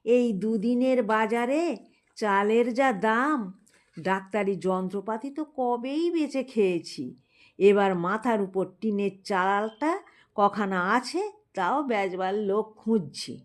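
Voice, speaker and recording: Storybook role: hard-up male town doctor read by a woman, slow, plaintive, colloquial. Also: medium pitch, lightly acted. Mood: aggrieved. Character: struggling male town doctors, aggrieved, broke, complaining to colleagues.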